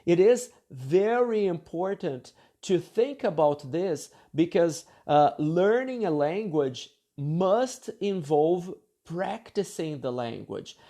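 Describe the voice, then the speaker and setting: A man giving a lecture, reading from his article and commenting on it, speaking slowly and deliberately with frequent fillers and checks like "okay?". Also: a little high, mellow. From Brazil. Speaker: university English teacher.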